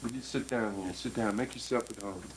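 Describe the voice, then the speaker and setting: An actor playing an earnest man in his forties, coaxing and animated as he talks. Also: mid-range voice, a little fast, background noise, lightly acted. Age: 40s.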